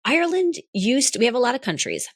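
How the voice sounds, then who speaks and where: A young woman co-hosting a podcast in casual chat, hoarse as her voice comes back, rambling and laughing often. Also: on the high side, sing-song, quick pace, no echo, studio quality. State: hoarse, recovering lost voice. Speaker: podcast co-host.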